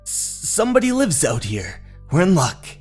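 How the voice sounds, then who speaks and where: Jumpy young male hiker, played by a man narrating, stammering, exclamatory, very animated intonation, horror manga dub. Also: fairly high pitch, normal speed, breathy edge, voice pushed up, exaggerated voice. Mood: nervous. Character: alarmed young male hiker, bewildered and excitable. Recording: music under the voice.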